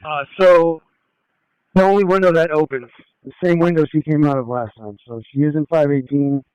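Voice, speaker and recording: Male officer, procedural radio updates, clear voice a touch high, dry dull scanner audio. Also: fairly even intonation, normal speed, a little mellow, dry recording. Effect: police radio. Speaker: police officer.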